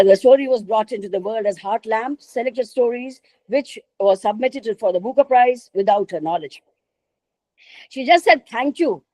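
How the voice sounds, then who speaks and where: A woman giving a literature lecture, measured and repeating phrases for emphasis. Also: medium pitch, dark tone, no echo, poor audio. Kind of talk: academic lecture.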